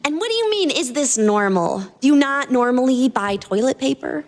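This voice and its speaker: A woman's voice: sits high, lively intonation, moderate pace, long reverb tail, voice pushed up. A woman voicing a clever, petite young woman in a story, with a teasing, matter-of-fact way of talking. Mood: incredulous.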